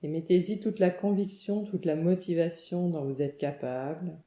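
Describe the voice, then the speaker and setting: A woman leads a guided relaxation meditation, calm and soothing, giving gentle instructions in short phrases with pauses. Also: fairly low pitch. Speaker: yoga nidra meditation guide. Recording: muffled, echoey room sound.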